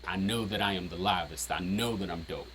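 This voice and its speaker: A man with a deep voice casually breaking down his own rap lyrics, rambling with lots of "um" and "you know". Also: brisk, a bit bright, a little hiss or hum. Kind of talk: casual explanatory chat.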